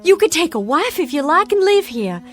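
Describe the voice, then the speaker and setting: An actress playing a lonely, sick, grieving war widow in a radio drama, halting, emotional and pleading. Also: female voice, very high voice, very animated, soft background music.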